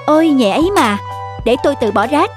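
A woman's voice: high voice, animated, fairly quick, over music. A woman voicing a kind, helpful young female neighbour in a cartoon drama, considerate in manner. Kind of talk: cartoon moral-drama dubbing.

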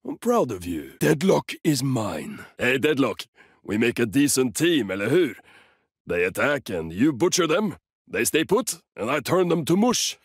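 An actor voicing a cocky, brash Swedish mercenary in a video game, with a Swedish accent and a rough, swaggering, blunt delivery. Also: male voice, medium pitch, big pitch swings, measured pace, no echo, studio quality, heavily acted. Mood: smug, teasing. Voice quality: rough, husky.

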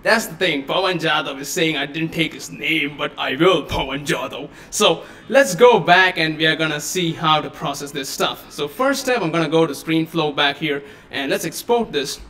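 An excitable man running a casual live tutorial from his home computer desk, talking into a studio microphone. His voice is high and bright, and he bursts into exclamations often. A faint hiss sits under the talk. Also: normal speed, few pauses. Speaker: tech tutorial host. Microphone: USB studio microphone.

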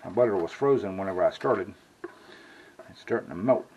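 A man's voice: low-pitched, fairly quick, lo-fi sound, a little hiss or hum. A man hosting a casual cooking video, talking folksy to viewers while he preps and grills. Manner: folksy, talks to viewers as "y'all". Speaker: cooking channel host. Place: home kitchen, then outdoor grill. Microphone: handheld camera mic.

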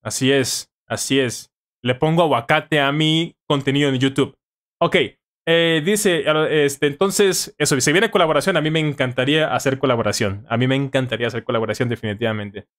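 A man's voice: mid-range voice, fairly quick, a bit bright. A man in his thirties with a Mexican accent hosts a casual live stream, informally answering viewers and repeating phrases for emphasis, close to a studio microphone. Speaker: live-stream host, language-learning tutor. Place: small home studio room, indoors. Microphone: studio microphone on boom arm, close.

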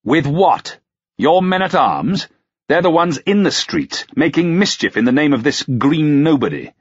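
A man's voice: medium pitch, very animated, moderate pace, a bit bright, slightly rough, dry recording, muffled recording, voice pushed up. A man voicing an imperious, suspicious medieval duke with archaic, commanding, sardonic speech in an audiobook. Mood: angry, sneering. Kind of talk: dramatised audiobook dialogue.

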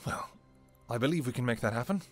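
A man's voice: mid-range voice, lively intonation, quick pace, close to an everyday voice. A man acts out a royal vampire lover from a fantasy audio roleplay. He is tender and possessive, speaking in formal, old-fashioned, intimate phrases. Music plays behind his voice. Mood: tender, devoted.

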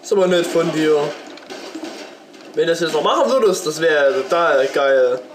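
A man's voice: on the high side, moderate pace. A man with a slight cold and sore throat, chatting and joking as he comments on his own gameplay. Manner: chatty, joking, talks to the game. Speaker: let's play gamer. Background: game music.

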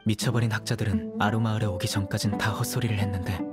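A man voicing a calm, stern young male judge in a dubbed game, speaking in a measured, dry way. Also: on the low side, loud music behind the voice, lightly acted. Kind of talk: game dub voice acting.

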